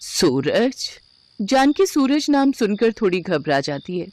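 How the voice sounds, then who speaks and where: A woman's voice: a little high, big pitch swings, moderate pace, a little mellow. Woman narrating a children's story.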